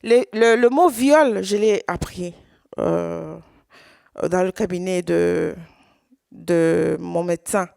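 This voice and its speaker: Halting woman with a gravelly voice. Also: fairly low pitch, warm tone, no room sound, clean studio sound. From Congo. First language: Swahili. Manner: halting, with many repeated words and restarts. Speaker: survivor giving testimony. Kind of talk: personal testimony at a conference.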